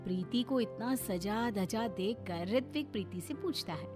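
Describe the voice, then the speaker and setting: A woman's voice: medium pitch, expressive, measured pace, music in the background. A woman reading scripted narration for a cartoon story.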